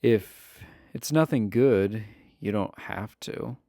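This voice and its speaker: A man's voice: lowish voice, takes it slowly, gravelly, mellow, no room sound, studio quality. A man playing a shy, adorkable imprisoned villain in a romantic roleplay, speaking to the listener in a hesitant, stammering, earnest way. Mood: earnest, wistful.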